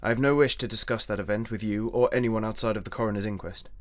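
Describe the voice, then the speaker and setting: A man plays a proud general in mourning, an older widower in a radio drama. His voice sits fairly low, and he speaks formally and stiffly, with halting phrases and a curt edge. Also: steady tone, close to an everyday voice. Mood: indignant. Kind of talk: radio play acting.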